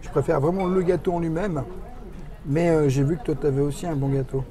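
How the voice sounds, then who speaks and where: A man's voice: on the high side, fairly even intonation, brisk. A man chatting casually as he tastes food for a vlog. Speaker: vlog companion tasting food. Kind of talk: casual chat. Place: busy restaurant at a theme park. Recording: restaurant crowd chatter behind.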